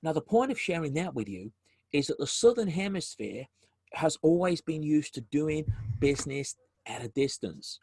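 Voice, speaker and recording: Husky South African man presenting online. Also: fairly high pitch, sing-song, moderate pace, darkish tone. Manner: repeats words and restarts phrases. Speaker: webinar presenter, business development specialist. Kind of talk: presenting a webinar. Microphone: video call.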